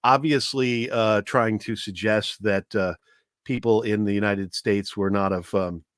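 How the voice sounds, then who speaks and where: Man telling history in a measured way, dry sound. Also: on the low side, fairly even intonation, dry recording. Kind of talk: informal historical talk with anecdotes.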